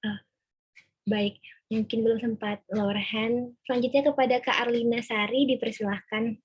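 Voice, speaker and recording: A woman's voice: fairly high pitch, fairly even intonation, moderate pace, husky. A woman politely and formally moderating a question session on an online call. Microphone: online video call.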